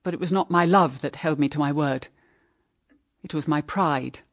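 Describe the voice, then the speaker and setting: A woman's voice: sits low, normal speed, no room sound, studio quality. An actress with a British accent playing a middle-aged widowed mother, solemn and anguished, in radio drama style. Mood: earnest pleading. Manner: solemn, intense, melodramatic. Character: anguished, bitter widowed mother pleading with her daughter.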